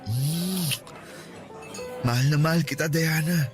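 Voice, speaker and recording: Tender, high-voiced young boyfriend played by a man for radio drama, over faint music. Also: slow pace. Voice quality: soft-spoken and tender. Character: young man Apollo, gentle devoted boyfriend. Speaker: voice actor.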